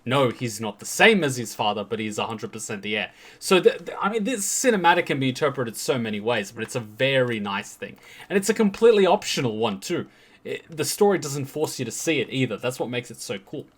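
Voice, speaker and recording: A man's voice: mid-range voice, very animated, a little fast, a bit bright. A man giving casual, chatty commentary while reacting to game cinematics, now and then restarting his sentences.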